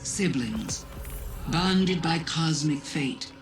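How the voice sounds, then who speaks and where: A woman playing a cunning, ancient alien witch in a video game, speaking in a deliberate, insinuating monologue with dramatic pauses. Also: low-pitched, lively intonation, heavily acted. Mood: reflective, wistful. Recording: clear music behind voice. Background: music.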